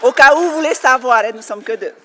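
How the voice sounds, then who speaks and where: A woman's voice: high-pitched, fast, sharp tone, a faint crowd, slight background noise. A woman from French Polynesia giving an earnest personal speech to a conference audience, with light laughs and fillers. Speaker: conference speaker. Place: conference hall. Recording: long hall reverb.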